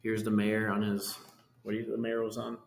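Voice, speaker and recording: A man's voice: on the low side, monotone, fairly quick, creaky, a little hiss or hum. A man reading a children's storybook aloud, with casual asides about the pictures. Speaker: reader of a children's book. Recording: echoey room with long reverb.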